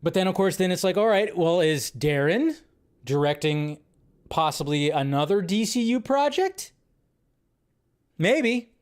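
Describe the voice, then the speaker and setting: A man with a somewhat high voice hosts a solo live stream. He talks loosely and thinks out loud, often restarting his sentences. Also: animated, unhurried, pauses often, clear, resonant tone. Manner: rambling, thinking aloud with restarts. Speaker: host.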